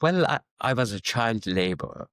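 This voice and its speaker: A middle-aged man from Afghanistan whose first language is Farsi, answering interview questions in a measured, reflective way and often restarting his sentences. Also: medium pitch, expressive, a bit bright, smooth, no echo, clean studio sound. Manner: measured, searching for words with frequent restarts. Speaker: guest, diplomat and educator.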